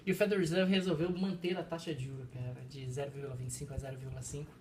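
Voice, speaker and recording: Quick man explaining economics. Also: mid-range voice, steady tone, a little mellow, slight background noise. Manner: explanatory, measured lecturing on economics. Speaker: co-host, professor. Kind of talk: casual chat.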